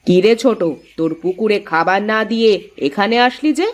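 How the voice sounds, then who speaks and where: Woman acting a sensible, expressive village wife. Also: medium pitch, brisk, lightly acted. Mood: calm. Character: sensible, patient elder daughter-in-law in a village family. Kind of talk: cartoon voice acting.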